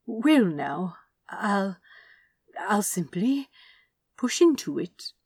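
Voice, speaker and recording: A fussy, stubborn old male angel , put on by a woman narrator, coaxing in a prim, soft-spoken way. He pitches a little above her narrating voice. Dry, close recording. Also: very animated, slow pace, slightly rough, dry recording, full character voice. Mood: coaxing, insistent.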